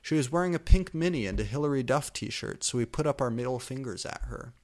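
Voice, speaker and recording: A man reads fan fiction aloud as comic narration, in a mid-pitched voice. He delivers the prose mock-seriously, leaning on words with exaggerated emphasis. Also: expressive, fairly quick, darkish tone.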